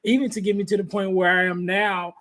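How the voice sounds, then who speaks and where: A man hosting a podcast interview, with a light voice, talking in a soft-spoken, halting way full of restarts. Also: high-pitched, steady tone. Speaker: podcast host. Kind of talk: conversational interview. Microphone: podcast microphone.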